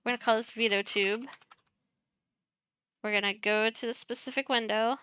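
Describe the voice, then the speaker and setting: Soft-spoken young woman on a headset. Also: a little high, fairly even intonation, moderate pace, clear, resonant tone. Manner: chatty, self-deprecating, mutters while clicking. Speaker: streamer teaching streaming setup. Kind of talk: casual screen-share tutorial.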